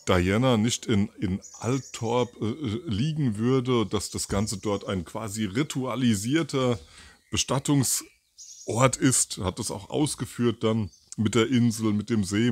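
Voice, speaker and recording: A man's voice: lowish voice, darkish tone, no echo. A man in his fifties doing a casual solo commentary outdoors by a garden, rambling with many fillers and self-corrections, into a mic with a furry windscreen. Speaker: host of a commentary show. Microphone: furry windscreen mic near camera.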